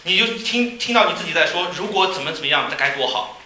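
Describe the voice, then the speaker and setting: Guy interpreting a sermon, high quick voice, bit of noise in an echoey room. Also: lively intonation, bright, forceful tone. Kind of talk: live consecutive sermon interpreting.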